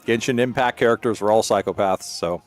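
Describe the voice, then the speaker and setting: A man's voice: fairly low pitch, a little fast. Wry male game streamer over music. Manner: joking, wry. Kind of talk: casual gameplay commentary.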